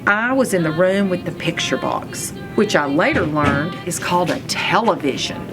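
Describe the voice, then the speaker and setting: Animated woman reading a children's storybook, lowish voice. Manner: expressive, deliberate delivery with emphasized words. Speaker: read-aloud storyteller. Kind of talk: children's chapter book read-aloud, first-person narration. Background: music.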